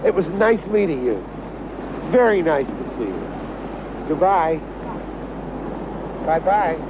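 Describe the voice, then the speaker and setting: An actor playing a chatty, polite male cruise passenger in a television drama, ingratiating and a little hurried. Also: fairly high pitch, big pitch swings. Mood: politely hurried. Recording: noisy outdoor boat-deck film sound.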